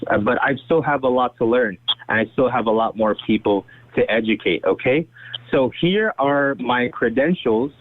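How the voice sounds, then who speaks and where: Young man talking fast over a phone line. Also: medium pitch. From Saudi Arabia. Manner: fast, chatty, uses "right?" and "okay?" often. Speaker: guest presenter. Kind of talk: presenting on a conference call.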